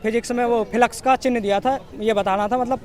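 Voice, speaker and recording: A young man with a western Uttar Pradesh accent giving an informal, halting street interview as an exam candidate, repeating and rephrasing as he recalls. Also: very high-pitched, fairly even intonation, a little mellow. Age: young adult.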